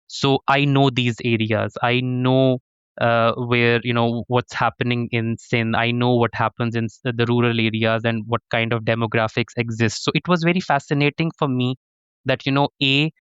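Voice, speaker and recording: A man's voice: medium pitch, flat delivery, moderate pace, fairly bright tone, clean tone, dry recording, dull audio. A man from Pakistan, a professor and interview guest, giving earnest conversational answers with frequent restarts. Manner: earnest, many restarts and "to be honest".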